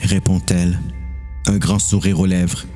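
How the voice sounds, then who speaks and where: A man's voice: low-pitched, level tone, measured pace, warm tone, soft background music. A man narrating a scripted story for an amateur audio drama.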